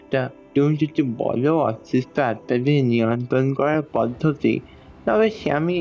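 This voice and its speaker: A man playing a grave, elderly village priest, telling his tale in a measured, solemn way in a horror audio drama. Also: mid-range voice, vocal fry, darkish tone. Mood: grave, cautioning. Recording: slightly dull studio sound under music. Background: background music.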